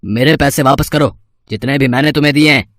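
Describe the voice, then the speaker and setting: A man's voice: mid-range voice, brisk, a bit bright, no echo, clean studio sound, close to an everyday voice. A man playing a threatening, bossy kidnapper thug in an acted moral story cartoon. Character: menacing male thug and child kidnapper, demanding money.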